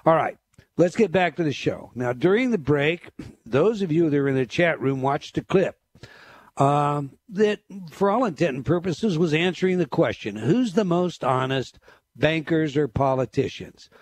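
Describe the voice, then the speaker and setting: A man's voice: on the high side, animated, moderate pace. A man hosting a talk radio show, introducing a guest and reading promotions with frequent hesitations.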